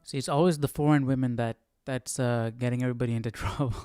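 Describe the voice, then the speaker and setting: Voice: mid-range voice, steady tone, quick pace, warm tone, clear, resonant tone, dry recording, faint music behind. A host making casual live commentary during a Bible study.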